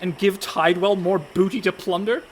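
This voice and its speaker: An actor playing an anxious, protesting merchant sailor in a radio play, earnest and strained. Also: male voice, high-pitched, steady tone, moderate pace, close to an everyday voice. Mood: worried. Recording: faint background noise.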